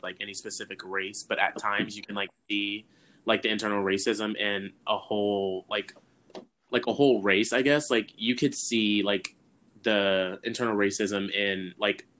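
A young man shares his thoughts in a casual group discussion. His voice is bright and edged, a bit low in pitch, and he moves hesitantly, leaning constantly on "like". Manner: informal, hesitant, very frequent "like". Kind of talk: candid personal group discussion.

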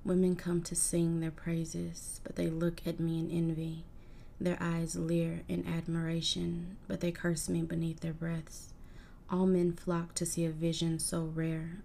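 A woman voicing a proud, defiant cursed gorgon woman in a hushed, breathy recitation. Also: on the low side, monotone, a little slow, a little hiss or hum.

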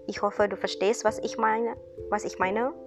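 A young woman from Indonesia speaking German with an Indonesian accent, giving an earnest, encouraging podcast monologue in halting non-native phrasing. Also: mid-range voice, fairly even intonation. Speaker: podcast host, student. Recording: music bed behind voice.

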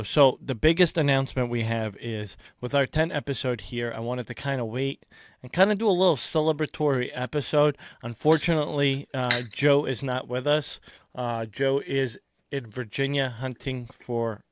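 A man hosting a casual podcast, talking loosely with lots of "uh" and "um" as he reads out sponsors and giveaways. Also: mid-range voice. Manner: loose, rambling, lots of filler words. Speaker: podcast host.

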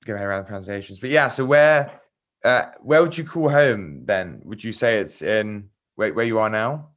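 Lowish-voiced man hosting a casual interview. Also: animated, normal speed. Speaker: interview host.

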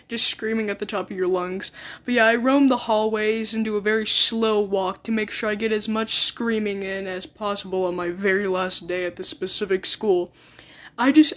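A teenage student rambling through a casual storytime vlog, repeating phrases and stressing words. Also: medium pitch. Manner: rambling, repetitive, emphatic.

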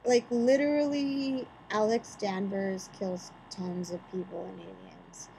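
A woman's voice: mid-range voice, fairly even intonation, measured pace, vocal fry, warm tone, slight background noise, rough recording. A woman co-hosting a podcast in casual chat, arguing her points with rhetorical questions. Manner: opinionated, builds arguments with rhetorical questions. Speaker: podcast co-host.

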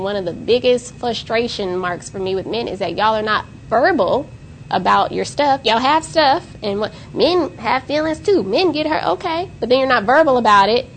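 Gravelly-voiced woman podcast co-host. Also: a little high, a little hiss or hum. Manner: emphatic, rising to pointed exclamations. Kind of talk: casual podcast discussion.

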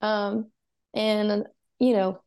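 A woman's voice: medium pitch, level tone, drawn-out words, darkish tone, clean tone. A young woman from Texas, a musician guest talking earnestly and upbeat, with many "um" and "you know". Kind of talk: casual interview.

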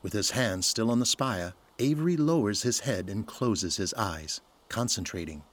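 A man's voice: fairly low pitch, animated, normal speed. A man delivering scripted, dramatic narration for an audio drama as its narrator.

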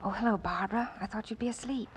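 An actress playing a gentle, patient and wistful young woman in an old radio drama, tactful and tentative in manner. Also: medium pitch, fast, natural voice. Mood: wistful, guarded. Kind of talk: 1940s radio drama acting. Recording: noisy old radio recording.

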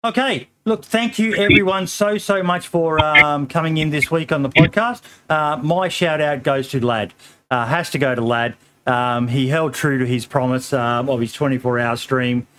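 A middle-aged man hosts a relaxed podcast with a slightly hoarse voice. He talks in an easygoing, rambling way, filling pauses with ums and calling people mate. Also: fairly high pitch, slight background noise. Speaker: podcast host. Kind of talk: casual podcast chat.

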